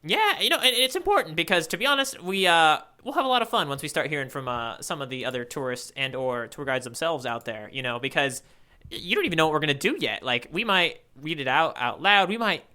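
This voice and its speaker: A man's voice: fairly high pitch, big pitch swings, fast talker, pushed and bright. A man co-hosting a podcast, chatting casually and playfully about comic panels. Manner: playful banter. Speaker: podcast co-host.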